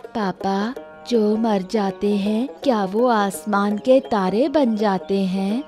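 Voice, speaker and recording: Woman acting slow-speaking innocent little cartoon girl. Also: mid-range voice, dark tone, faint music behind, close to an everyday voice. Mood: wistful, curious. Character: innocent wistful little village girl, curious daughter. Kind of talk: animated moral story acting.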